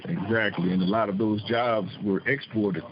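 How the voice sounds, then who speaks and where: Low-voiced man explaining over muffled, noisy audio. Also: fairly even intonation, normal speed. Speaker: discussion host. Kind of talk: political discussion, explaining.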